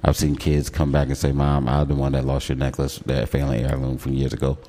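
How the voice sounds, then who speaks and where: A man with an American accent and a deep voice, hosting a casual, opinionated radio talk show and taking care to enunciate. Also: monotone, brisk, dark tone. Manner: enunciates words carefully.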